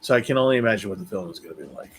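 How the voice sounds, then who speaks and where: A man speaks as a panelist in a casual, conversational way, giving informal opinions at a middling pitch. Faint noise sits behind his voice. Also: animated, racing. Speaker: panelist on a news show. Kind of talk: casual chat.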